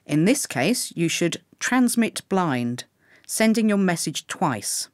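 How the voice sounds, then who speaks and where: A woman reading scripted instructional narration for pilot training in a measured, clearly enunciated way. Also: fairly low pitch, sing-song, dry recording, studio quality.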